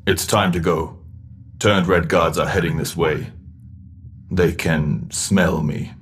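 An actor playing a gruff, curt non-human guard who gives orders in a sci-fi audio drama. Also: male voice, low-pitched, fairly even intonation, slow, fairly bright tone, faint music behind. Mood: commanding. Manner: curt, commanding, speaks in short orders.

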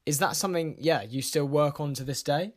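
A man hosting a podcast, asking an interview guest measured, slightly hesitant questions. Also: on the high side. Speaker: podcast host.

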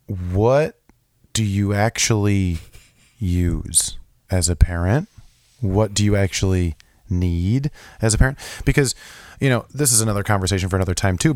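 A young man with a lowish, slightly hoarse voice, still getting over a recent illness. He talks in an animated, rambling way, full of fillers and restarts. The recording is close and dry, with no room sound. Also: no echo. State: low, hoarse after being sick. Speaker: podcast co-host. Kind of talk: casual chat.

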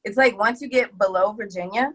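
A woman's voice: mid-range voice, fairly quick, a bit bright, husky. A woman from the South, speaking candidly as a podcast guest, hesitant and full of ums. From Deep South of the US. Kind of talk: casual introduction.

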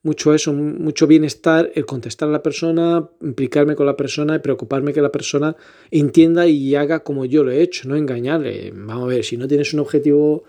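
A man's voice: on the high side, steady tone, a little fast, mellow. A man speaking Spanish, hosting a casual solo podcast in an earnest, rambling way full of restarts. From Portugal. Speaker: podcast host, photographer.

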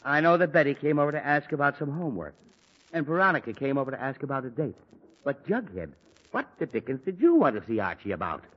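A man's voice: mid-range voice, sing-song, fast. An actor playing an exasperated middle-aged father with a headache, blustering in 1940s radio comedy style, on a dull old broadcast recording. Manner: blustering, fussy, flustered outbursts.

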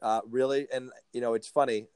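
A man's voice: medium pitch, steady tone, a little fast, a little mellow, clean tone, no room sound. A man hosting a podcast interview, talking casually and often restarting and repeating words. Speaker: podcast host.

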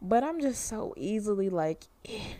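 A woman hosting a casual chat, telling stories in an animated way and laughing often. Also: medium pitch, a little slow, a little hiss or hum. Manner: animated storytelling, laughs a lot. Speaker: podcast host.

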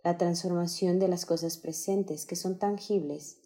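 Slow, mellow-voiced woman guiding meditation. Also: on the low side. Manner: calm, soothing, unhurried. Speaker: meditation guide. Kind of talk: guided meditation, slow instructions with pauses.

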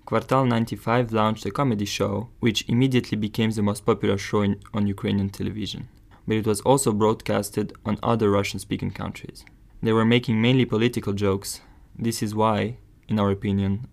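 A man's voice: lowish voice, level tone, fairly quick, gravelly. A man co-hosting a podcast, reading scripted narration. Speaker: podcast co-host.

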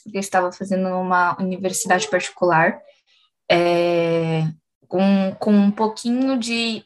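A young woman in her twenties tells her story as a student. She speaks in a reflective, thoughtful way with small hesitations. Her voice sits at an ordinary middle pitch. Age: 20s. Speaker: university student. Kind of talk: testimonial interview.

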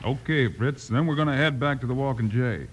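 An American man playing a steady, heroic, plain-spoken Western lawman in an old-time radio drama. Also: mid-range voice, fairly quick, close to an everyday voice. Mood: deliberate. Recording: noisy, clicky old radio recording.

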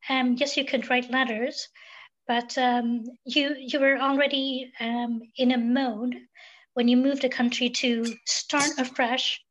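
Swedish-raised woman guest, high voice, reflective, lots of pauses, muffled lo-fi audio. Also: flat delivery, a bit bright. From Sweden. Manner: thoughtful, many um fillers. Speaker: podcast guest. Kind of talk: reflective interview talk.

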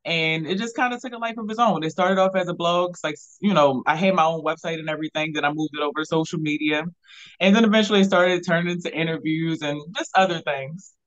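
A woman in her thirties with a Baltimore accent speaks as a relaxed interview guest. She talks easily and laughs often. The recording sounds slightly dull. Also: deep voice, quick pace. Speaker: guest, content creator. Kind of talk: casual interview chat.

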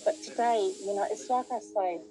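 A woman's voice: medium pitch, moderate pace, music in the background, low-quality recording. A woman from New Zealand with a New Zealand accent hosting a casual podcast chat, rambling along with many fillers. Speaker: podcast host.

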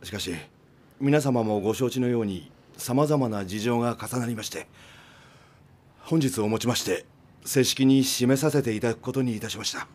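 A man's voice: medium pitch, normal speed, darkish tone, close to an everyday voice. An actor playing a formal, long-winded male innkeeper in a radio drama, speaking stiffly and politely. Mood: formal, wistful. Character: long-winded, formal inn owner making a farewell speech.

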